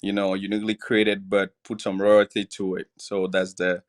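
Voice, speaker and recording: A man in his forties from Cameroon with a Cameroonian accent, chatting as a podcast guest, relaxed and laughing easily between filler pauses. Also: low-pitched. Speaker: podcast guest, clothing brand founder. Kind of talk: casual interview chat.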